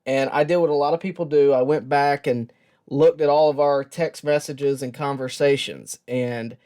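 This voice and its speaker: A man hosting a sports show, giving a personal tribute in a reflective, earnest and halting way with pauses. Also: a little high, steady tone, warm tone. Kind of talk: personal tribute talk to camera.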